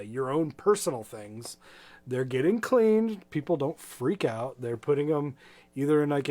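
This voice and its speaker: A middle-aged American man hosting a children's storytime stream with casual, friendly, rambling and hesitant talk. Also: mid-range voice. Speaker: volunteer storytime host for children. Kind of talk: casual livestream chat.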